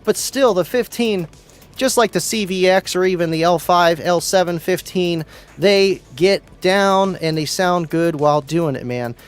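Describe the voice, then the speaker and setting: A man hosting a casual livestream, chatting excitedly with viewers, laughing often and tripping over names. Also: sits high, a little slow, clean tone, faint music behind. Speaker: livestream host. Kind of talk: casual livestream chat reading viewer comments. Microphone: studio mic.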